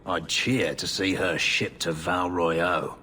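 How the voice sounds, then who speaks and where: A man's voice: fairly even intonation, slow pace, sharp tone, exaggerated voice. A man playing a down-and-out former knight in a fantasy game, plainspoken and deferential. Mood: grudging. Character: down-and-out male former knight seeking reinstatement. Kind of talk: fantasy video game acting. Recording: clear rumbling background noise.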